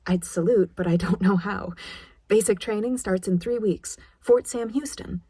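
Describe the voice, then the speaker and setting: A woman's voice: mid-range voice, moderate pace, mellow, slightly rough, no room sound, natural voice. A woman voicing an earnest, insistent young army nurse, eager and defiant, in an audiobook. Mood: bursting with pride.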